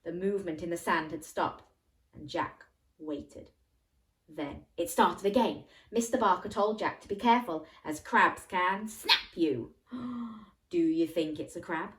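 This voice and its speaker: A woman's voice: lowish voice, measured pace. Woman reading playfully to young children. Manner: animated, playful asides with questions to the listener. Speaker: children's storyteller. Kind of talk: interactive bedtime story reading for young children.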